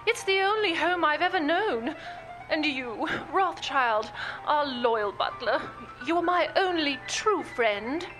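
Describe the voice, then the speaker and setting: Very high-pitched, melodramatic young English lady. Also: lively intonation, slow pace, over music, low-quality recording. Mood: melodramatic, earnest. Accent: put-on upper-class English. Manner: melodramatic, haughty, mannered. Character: deluded young Minnesota woman playing a grand Edwardian English lady. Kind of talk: comic radio play parody trailer.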